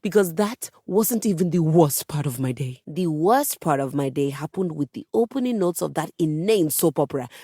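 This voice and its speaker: A woman's voice: low-pitched, lively intonation, moderate pace, few pauses, mellow, breathy edge, no room sound, clean studio sound. A woman voicing a haughty, melodramatic musical instrument with a composer's snobbery in a video game, speaking with theatrical, pompous emphasis. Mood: indignant. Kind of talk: video game character voice acting.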